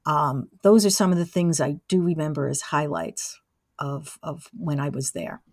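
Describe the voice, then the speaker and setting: Interview guest: older female, low-pitched, relaxed conversational storytelling, very little room sound. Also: normal speed, dry recording, clean studio sound. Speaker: interview guest, former college radio engineer. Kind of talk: casual reminiscing.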